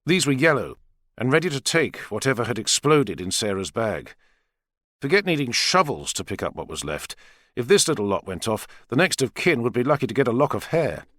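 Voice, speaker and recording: A man narrating a thriller novel as an expressive, theatrical audiobook reader. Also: medium pitch, a little fast, no room sound, studio quality.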